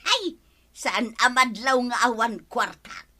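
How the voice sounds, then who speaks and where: High, slow, cheerful Ilocano village auntie. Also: female voice, expressive, sharp tone, full character voice. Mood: cheerful, teasing. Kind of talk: Filipino radio comedy drama acting.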